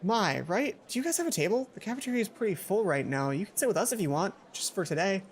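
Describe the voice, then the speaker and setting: A man's voice: high voice, sing-song, fast, background noise. A young man voicing a friendly, apologetic teenage schoolboy in a visual novel, easygoing and a little teasing. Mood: inviting. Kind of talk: visual novel character reading.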